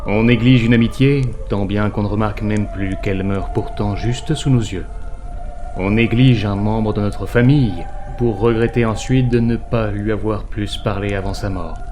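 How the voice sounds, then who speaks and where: A man's voice: lowish voice, steady tone, normal speed, close to an everyday voice. A man playing a grim, ominous narrator of a horror audio drama, solemn and literary in delivery. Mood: grave, foreboding. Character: grim, ominous male narrator warning listeners away. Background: faint music.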